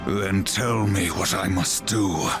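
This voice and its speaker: A man's voice: low-pitched, slow pace. An actor playing a stern, brooding horseman warrior in a video game, terse and commanding. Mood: accusing, resolute. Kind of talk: video game cutscene acting. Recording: music behind the voice.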